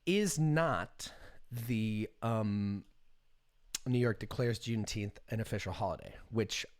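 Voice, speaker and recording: An American man in his thirties giving casual, off-the-cuff commentary on the news as a streamer, irreverent, sarcastic and freely swearing. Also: on the high side. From United States. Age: 30s. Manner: irreverent, sarcastic, profanity-laced.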